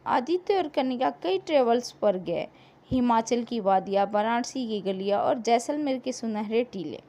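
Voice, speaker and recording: High-pitched, expressive woman storyteller. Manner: dramatic, emotive delivery with deliberate pauses. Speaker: storyteller, narrator. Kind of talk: scripted dramatic story narration.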